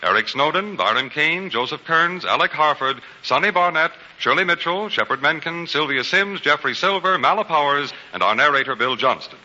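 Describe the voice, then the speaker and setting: A man's voice: a little high, animated, normal speed, few pauses, pushed and bright. An American man working as a radio announcer, reading scripted sponsor copy in a formal, emphatic 1940s style. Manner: emphatic, formal, polished phrasing. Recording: muffled 1940s radio broadcast, hall echo.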